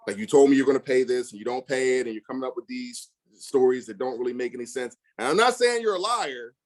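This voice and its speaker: A man's voice: mid-range voice, animated, quick pace. A man talking casually and bluntly, full of slang and emphasis, acting out past conversations in quotes as he tells stories. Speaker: real estate investor.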